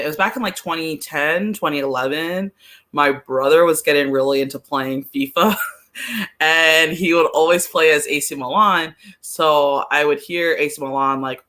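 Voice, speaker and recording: Bright-voiced woman chatting casually. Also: deep voice. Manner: chatty, peppered with "like". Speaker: podcast guest, soccer fan. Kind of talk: casual sports chat.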